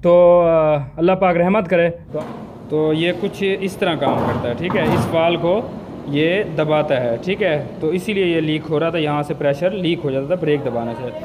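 A man in his thirties talks through a brake valve repair in a truck workshop, speaking a little higher than most men. He checks in with the viewer after almost every step. Faint workshop and vehicle noise sits under his voice. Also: moderate pace. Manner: explanatory, repeats "theek hai" to check in with the viewer; uses frequent Islamic phrases like inshallah and mashallah. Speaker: truck mechanic. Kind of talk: hands-on repair tutorial.

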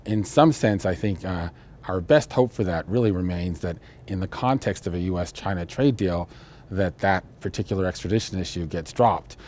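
An older man with a deep voice speaks as an expert guest in an interview. His delivery is measured and explanatory, with faint ambient noise behind him. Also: darkish tone. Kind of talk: television interview analysis. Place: indoor studio, seated interview.

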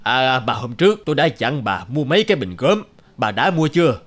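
An actor playing a pompous, stubborn, self-important rich village man in an animated folktale. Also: mid-range voice, brisk. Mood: smug.

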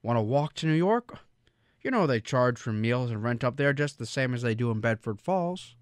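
A man's voice: mid-range voice, animated, normal speed, no echo, close to an everyday voice. A man acting in a radio drama as an earnest, kindly but easily frantic small-town businessman, folksy and emphatic, with an American accent. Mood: warm, teasing. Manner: folksy, emphatic, stammering when pressed.

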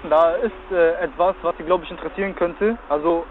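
A man calls in anonymously, agitated and urgent. His voice is high and very fast, coming through a dull phone line. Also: soft background music. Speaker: anonymous emergency caller. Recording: muffled emergency phone line.

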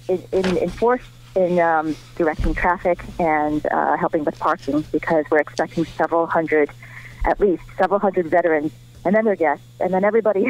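A woman's voice: fairly low pitch, low-quality recording. A woman born in Vietnam, a guest on a radio show, speaking in an upbeat, informative way about a memorial dedication. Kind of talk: radio interview.

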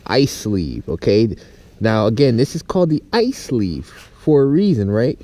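A man's voice: mid-range voice, sing-song, unhurried, mellow, dry recording. A man talking casually and off the cuff to his viewers as an outdoor gear vlogger. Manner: informal, unscripted, addressing viewers. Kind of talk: casual vlog talk. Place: outdoors in a yard, in and around a tent.

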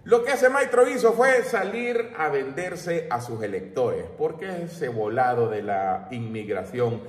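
A man's voice: mid-range voice, sing-song, measured pace. A middle-aged Salvadoran man giving a brash, slangy, emphatic opinion rant in an informal style. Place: small home room with shelves, indoors. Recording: roomy echo, distant mic.

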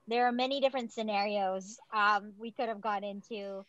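A woman's voice: mid-range voice, normal speed, no room sound, highs cut off. Chatty woman on a dry video call. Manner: animated, talkative, laughs often, many fillers. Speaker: theatre director. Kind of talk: casual interview on a video call. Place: home room, indoors. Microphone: laptop webcam on video call.

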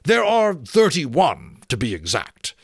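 A man's voice: mid-range voice, wildly swooping pitch, slow, creaky, husky, no echo, clean studio sound, voice pushed up, heavily acted. A man voicing a vain, wistful male outlaw captain in a storybook reading, boastful and sighing by turns. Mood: eager.

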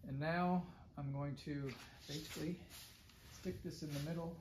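A man's voice: fairly high pitch, fairly even intonation, moderate pace, background noise. A middle-aged man casually narrating his boat build in a woodworking shop, thinking aloud with plenty of fillers, recorded on a handheld phone. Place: large indoor woodworking shop.

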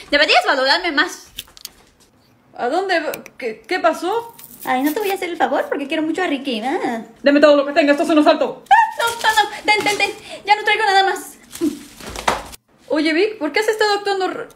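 A young woman acting out a comedy sketch, switching quickly between characters in a small room at home. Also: extremely high pitch, fairly bright tone, a little hiss or hum. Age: young adult.

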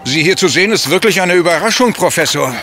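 An actor playing a self-assured gentleman widower under suspicion in a radio play, formal, insistent and defensive. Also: sits high, lively intonation, fast, soft background music. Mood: coolly dismissive. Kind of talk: German radio play acting.

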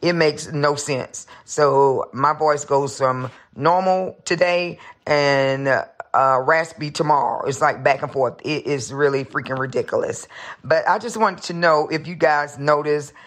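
A woman from Savannah, Georgia, raspy from pollen, chatting in a casual voicemail to podcast hosts. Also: deep voice, unhurried. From Savannah, Georgia, USA. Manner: chatty, exasperated rambling. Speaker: listener leaving a voicemail.

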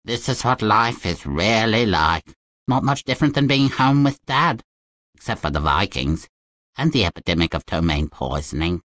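A woman's voice: extremely low pitch, very animated, moderate pace, a bit bright, dry recording, heavily acted. A woman voicing a tiny, put-upon fairy-tale hero in a video game, droll and complaining. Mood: glum, sardonic. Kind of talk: video game character acting.

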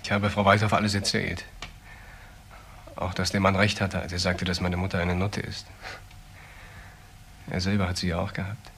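Young male ex-convict, radio crime drama: lowish voice, earnest, halting, slight noise. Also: flat delivery, a bit bright. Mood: earnest, defensive. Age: young adult. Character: earnest, defensive young ex-convict recounting his story. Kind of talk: naturalistic German crime radio drama acting.

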